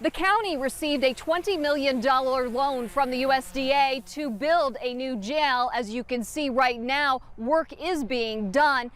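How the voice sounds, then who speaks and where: High-pitched woman reporting the news. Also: a little slow, few pauses, a bit bright.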